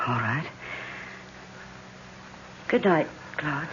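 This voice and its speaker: A concerned, devoted wife in an old radio drama. She fusses gently, with lively swings in a medium voice. The recording is slightly muffled. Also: deep voice, extremely slow, full character voice. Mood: worried. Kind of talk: 1970s radio drama acting. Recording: dull old radio with faint hiss.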